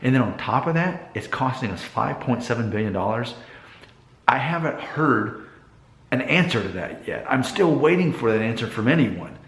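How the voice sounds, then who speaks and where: A man delivering an earnest opinion monologue as a vlogger, speaking directly and deliberately to his viewers with emphasis. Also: medium pitch, animated, a bit bright. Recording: echoey room.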